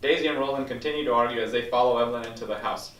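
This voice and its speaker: A man's voice: mid-range voice, fairly even intonation, rapid-fire, a bit bright. A man reading screenplay stage directions aloud as scripted narration at a table read. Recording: roomy echo, distant microphone.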